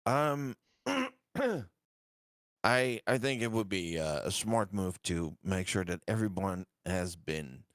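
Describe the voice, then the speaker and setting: A man playing in a tabletop roleplaying game, speaking in a slow, deliberate way. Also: low voice, fairly bright tone, no echo, clean studio sound. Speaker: player. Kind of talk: casual tabletop game chat.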